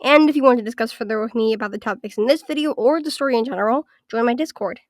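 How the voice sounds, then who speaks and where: A woman with a fairly high voice that comes out raspy and congested from being sick. She walks through a scripted video essay in an orderly, list-by-list way. The sound is dry and close, with no room around it. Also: fast talker, dry recording. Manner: structured, list-by-list evaluation.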